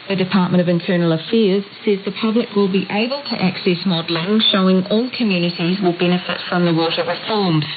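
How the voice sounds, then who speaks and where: A woman with a New Zealand accent reading a scripted radio news report as a reporter. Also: mid-range voice. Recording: muffled shortwave radio, clear hiss. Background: static hiss.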